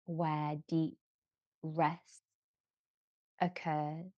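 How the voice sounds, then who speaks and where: A woman guiding a yoga nidra relaxation in a gentle, measured manner, leaving long pauses between short phrases. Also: low voice, flat delivery, no echo, muffled recording. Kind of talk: slow guided relaxation meditation.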